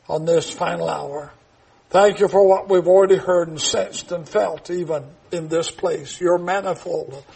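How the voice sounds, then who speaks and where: A man's voice: high-pitched, gravelly, darkish tone, breathy edge. A man in his seventies preaching a sermon in a church, reading scripture and praying with emphatic, swelling delivery. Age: 70s.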